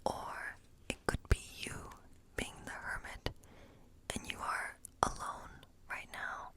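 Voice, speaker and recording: Whispering woodland witch acted by a woman. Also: natural voice. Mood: gentle, musing. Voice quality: breathy, unvoiced whisper. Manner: slow, gentle, musing, often repeating phrases. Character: kindly woodland witch reading tarot for a guest. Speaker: ASMR performer. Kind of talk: whispered ASMR fantasy role play.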